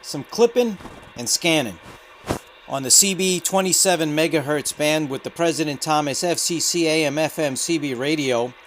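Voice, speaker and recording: A man's voice: on the high side, normal speed, fairly bright tone. A man giving casual hobby commentary as host of a radio demonstration, with static and radio chatter behind him. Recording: noisy room with radio static.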